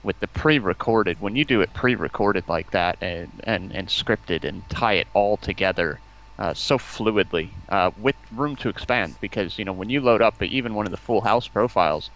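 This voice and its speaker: A man talking casually on a stream, explaining things in halting, pieced-together phrases. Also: lowish voice, lively intonation, fairly bright tone, low-quality recording.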